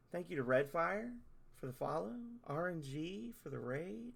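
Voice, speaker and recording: Hoarse gravelly male streamer. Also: mid-range voice. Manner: rambling, self-deprecating. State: hoarse, losing his voice. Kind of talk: casual stream sign-off.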